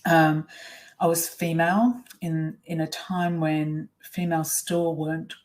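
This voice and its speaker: Low-voiced woman, candid and reflective. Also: fairly even intonation, a little slow, a little mellow. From Australia. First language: Swiss German. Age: middle-aged. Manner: candid, reflective, many ums and uhs. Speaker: webinar presenter. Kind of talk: personal storytelling in a webinar.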